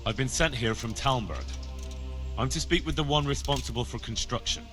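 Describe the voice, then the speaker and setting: A man's voice: medium pitch, normal speed, fairly bright tone, soft background music, natural voice. A young man playing a loyal, earnest servant of a medieval lord in a video game, polite and inquisitive. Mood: polite, businesslike. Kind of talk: cinematic game dialogue.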